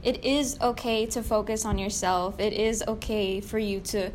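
A woman's voice: mid-range voice, steady tone, moderate pace. A young woman in a casual, candid group conversation, talking in a self-effacing, conversational way peppered with "like". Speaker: podcast guest, play cast member. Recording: faint noise, room ambience.

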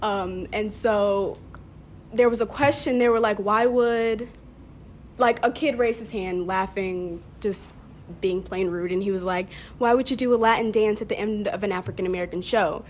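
A woman's voice: mid-range voice, steady tone, normal speed. A teenage woman telling stories casually as a student guest, peppering her talk with "like". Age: teens. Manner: youthful, filler-heavy talk with frequent "like". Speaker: high school theater student, podcast guest. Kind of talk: casual conversational storytelling. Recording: clear background noise, unpolished room recording.

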